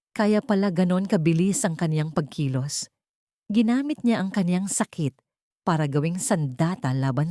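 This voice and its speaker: Animated woman narrating a drama, lowish voice. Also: dry recording, clean studio sound. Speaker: audio story narrator.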